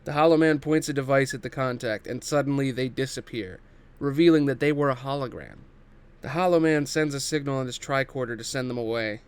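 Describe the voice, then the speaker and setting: A man reads scripted stage directions as the narrator of a table read. His voice sits at a middle pitch, and he describes scenes and action in the present tense. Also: fairly quick, smooth.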